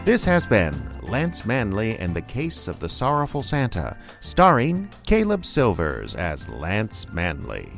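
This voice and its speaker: A man's voice: fairly low pitch, huge pitch swings, unhurried, gravelly, few pauses, fairly bright tone, faint music behind. A man working as announcer, reading scripted sponsor lines and closing credits for a radio show.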